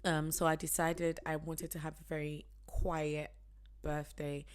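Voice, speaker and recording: A woman in her thirties co-hosting a podcast with her husband, chatting in a relaxed way and laughing easily. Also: low-pitched, a little slow, smooth. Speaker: podcast co-host. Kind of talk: casual chat.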